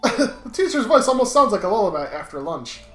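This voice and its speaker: A woman's voice: medium pitch, big pitch swings, fairly quick, fairly bright tone. A woman co-hosting a comedic game playthrough, teasing and nitpicking in casual banter. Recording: roomy sound with music behind.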